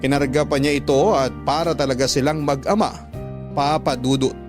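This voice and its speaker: Radio storyteller: man, medium pitch, dramatic scripted narration over an instrumental bed. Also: steady tone, moderate pace. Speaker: radio host reading a listener's story. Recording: clear music bed under narration. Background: instrumental music.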